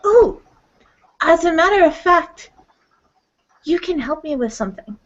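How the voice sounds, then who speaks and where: A woman playing a gentle, nurturing, somewhat hesitant werewolf mystic in a tabletop roleplaying game. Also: very high-pitched, very animated, measured pace, muffled recording, voice pushed up, heavily acted. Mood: friendly. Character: gentle, nurturing female werewolf mystic who offers comfort.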